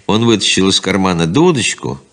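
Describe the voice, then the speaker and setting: A man's voice: low-pitched, very animated, brisk. A man narrating a scripted radio play in a dramatic style.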